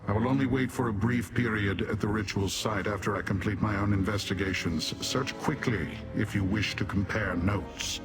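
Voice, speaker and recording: Deep male demon commander, played by an actor, cold, condescending, measured formal speech, fantasy game, background music. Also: lightly acted. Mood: cold, businesslike. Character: haughty male Dremora demon warrior commander, coldly disdainful of mortals. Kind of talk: video game character dialogue.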